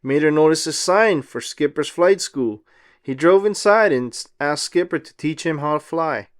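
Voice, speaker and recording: A man reading a children's storybook aloud as narrator, in an expressive style. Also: on the high side, moderate pace, clear, resonant tone.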